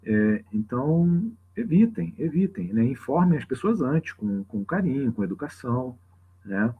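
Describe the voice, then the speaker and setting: A husky-voiced Brazilian man with a mid-range pitch teaches informally on a livestream. He talks off the cuff in a conversational way, dropping in frequent tag questions. The audio is slightly dull and lo-fi. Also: very animated, measured pace. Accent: Brazilian Portuguese. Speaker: religious teacher hosting a live open class. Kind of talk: informal extemporaneous explanation to a livestream audience.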